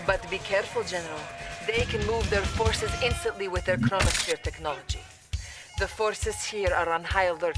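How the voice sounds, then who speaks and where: A woman's voice: lowish voice, natural voice. An actress playing a devoted Soviet intelligence officer with a Russian accent, solemnly rousing her commander in a video game. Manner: solemn, rousing, addresses listener as comrade. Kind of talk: dramatic video game cutscene acting. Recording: loud music behind. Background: orchestral game music.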